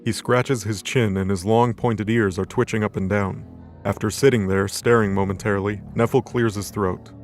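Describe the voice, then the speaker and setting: A man's voice: on the low side, fairly even intonation, normal speed. A man reading scripted narration for a light novel audio drama. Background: background music.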